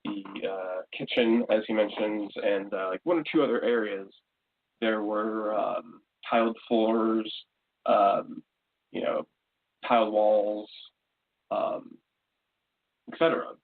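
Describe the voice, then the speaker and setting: A man talking as a show guest, explaining things casually in a halting, roundabout, hesitant way. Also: fairly low pitch, steady tone. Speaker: paranormal investigation team member, guest. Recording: muffled, lo-fi call-like sound.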